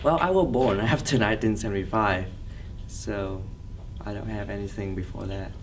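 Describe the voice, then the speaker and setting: Low-voiced Vietnamese man speaking haltingly. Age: 30s. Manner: hesitant, halting, frequent pauses searching for words. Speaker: interviewee. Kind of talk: oral history interview, recollection. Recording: somewhat distant mic, faint background noise.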